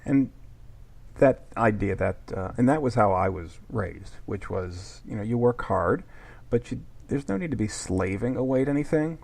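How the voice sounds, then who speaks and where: Male interview host, low gravelly husky voice, reflective and thoughtful. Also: darkish tone. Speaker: podcast host. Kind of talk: interview questions.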